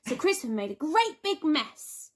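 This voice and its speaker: Lady teacher reading a kids picture book, high voice, really expressive, slow and swoopy. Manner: expressive, dramatic emphasis with drawn-out words. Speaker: primary school teacher. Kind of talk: children's storybook narration.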